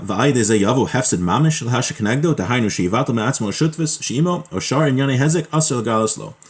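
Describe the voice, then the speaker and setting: Man explaining laws from a Hebrew text. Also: medium pitch. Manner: reads Hebrew passages, then explains them plainly in English; relaxed, with frequent fillers and self-corrections. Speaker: Torah teacher.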